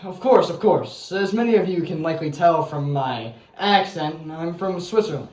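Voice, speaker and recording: A man playing an earnest, self-pitying young Swiss doctor in an amateur comedy film, formal and deliberate. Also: on the high side, expressive, a little mellow, close to an everyday voice. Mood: earnest, solemn. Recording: echoey room, faint noise and chatter.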